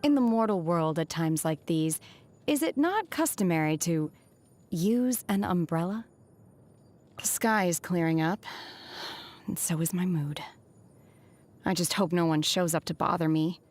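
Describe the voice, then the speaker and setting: A woman playing an aloof, solemn young exorcist in a video game, speaking in a measured, formal, softly deadpan way. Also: fairly low pitch, lightly acted. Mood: calm, detached. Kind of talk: scripted video game character voice lines.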